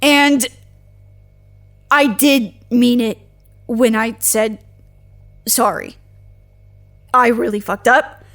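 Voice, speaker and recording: A young female agent, high voice, awkward and chatty, casual blurting, naturalistic audio drama. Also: fairly even intonation, drawn-out words, long pauses, a bit bright, full character voice. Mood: sheepish.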